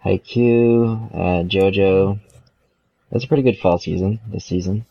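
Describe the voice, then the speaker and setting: Deep male voice on a dull mic. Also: unhurried, a little hiss or hum. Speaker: podcast co-host. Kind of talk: casual chat. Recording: dull, lo-fi microphone sound.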